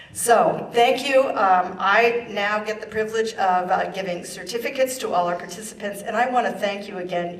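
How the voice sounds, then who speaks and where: A woman speaking formally and in a measured way as an official at a ceremony. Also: lowish voice. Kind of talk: formal ceremony speech and proclamation reading. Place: large echoey indoor ceremony room. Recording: echoey room, distant microphone.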